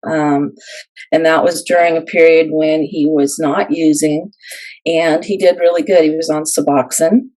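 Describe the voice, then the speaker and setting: Woman, slightly low pitch, interview guest, earnest, plain-spoken. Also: fairly even intonation, a little slow, a little mellow, poor audio. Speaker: guest, author. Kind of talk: casual interview.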